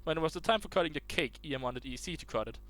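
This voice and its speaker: A man's voice: on the high side, animated, racing, a bit bright. A man reading online posts aloud in a casual way, stumbling and restarting words as he goes.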